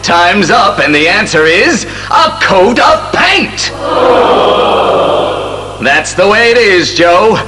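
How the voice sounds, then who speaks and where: Showy quiz emcee, hammy and patronizing, high voice, animated, music behind. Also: male voice, full character voice. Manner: hammy, drawn-out announcer shouts. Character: showy, patronizing male game show host. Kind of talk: audio drama acting as quiz show emcee.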